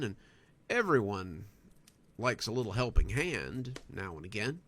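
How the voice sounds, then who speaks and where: A man narrates a first-person story in an expressive, storytelling way. His pitch is middling for a man and moves around a lot, giving the scripted reading a lively shape. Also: no room sound.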